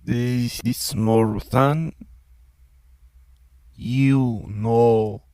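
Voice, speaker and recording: A man's voice: medium pitch, fairly even intonation, very slow, mellow, clean tone, dry recording, voice pushed up. A man voicing a towering, uncanny male entity that speaks like a puppet of the house, in eerie, broken, drawling phrases. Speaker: voice in a horror audio drama.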